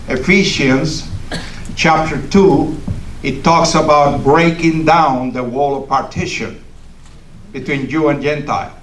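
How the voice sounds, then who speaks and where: A man's voice: a little high, a bit bright, a little hiss or hum. Older male guest speaker, Cuban Spanish accent, native Spanish, emphatic speech style, distant and roomy. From Cuba. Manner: emphatic, preacherly, builds with repetition. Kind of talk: political speech. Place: meeting hall of a congregation. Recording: echoey hall from far off.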